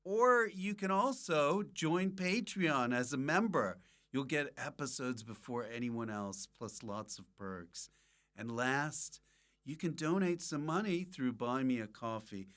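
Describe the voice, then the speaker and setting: A man's voice: mid-range voice, expressive, a little slow, fairly bright tone. A man hosting a podcast in casual comedic chat, full of joking, mock-abusive banter. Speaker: podcast host.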